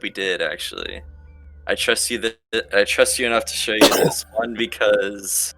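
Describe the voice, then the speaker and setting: A man casually playing a tabletop roleplaying game, improvising his character's words and actions in a halting way. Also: on the low side, loud music behind the voice.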